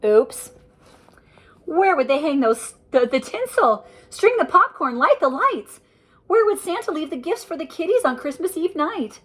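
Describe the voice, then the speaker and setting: An older woman reading a rhyming picture book aloud to children, with a lively, sing-song delivery and chatty asides about the pictures. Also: high-pitched, measured pace.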